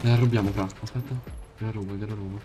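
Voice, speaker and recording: A man chatting casually and excitedly as he plays a game, repeating phrases in rapid bursts. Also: on the low side. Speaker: gamer playing a roleplay game with a friend. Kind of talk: excited casual gaming chat.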